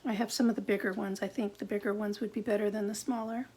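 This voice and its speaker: A middle-aged woman with a gravelly voice, chatting and thinking aloud through a live card-making demo at her craft desk. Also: medium pitch, flat delivery, fast, darkish tone.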